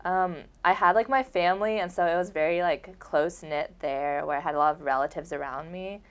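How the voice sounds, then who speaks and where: Smooth-voiced young woman chatting casually. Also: fairly low pitch, fairly quick. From Oregon, United States. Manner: relaxed, rambling, many filler words. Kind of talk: casual conversation.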